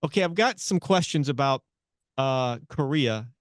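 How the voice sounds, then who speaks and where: A man's voice: mid-range voice, normal speed, dry recording. Radio host guy yapping away w the guest, dry studio mic. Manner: chatty, animated questioning with filler restarts. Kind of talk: casual interview chat.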